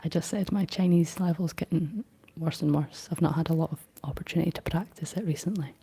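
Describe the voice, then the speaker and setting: A young woman with a Scottish accent hosting a whispered ASMR livestream, chatting gently through viewer comments in a soft, unhurried way; her voice is deep for a woman. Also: slightly rough. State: slight frog in throat.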